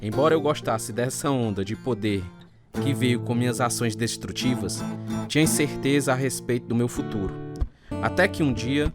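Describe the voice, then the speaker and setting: A man's voice: mid-range voice, moderate pace, lightly acted. An actor with a Brazilian accent playing a reflective man who narrates his troubled past in a radio drama. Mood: reflective, sober. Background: guitar music.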